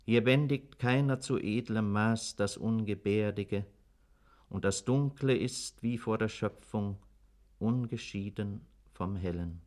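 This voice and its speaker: Slow, solemn literary narrator. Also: male voice, lowish voice, a little mellow. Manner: solemn, deliberate. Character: grave, brooding literary narrator. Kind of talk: poetic scripted recitation in German radio drama.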